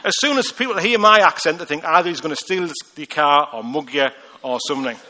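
A man with a Liverpool accent preaching a lively, joking sermon and reading scripture with acted voices. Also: a little high, fairly quick, slight background noise. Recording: long hall reverb.

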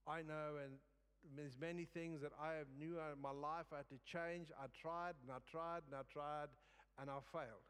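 A man's voice: a little high, steady tone, a bit bright, clear, resonant tone. Man preaching in a reverberant hall. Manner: halting, repeats phrases for emphasis. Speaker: guest preacher. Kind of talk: sermon. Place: church service in a large room.

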